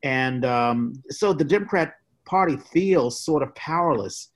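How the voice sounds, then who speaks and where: A man co-hosting a casual discussion of politics, giving his opinions in a halting way with repeated phrases. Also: a little high, lively intonation. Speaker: podcast co-host.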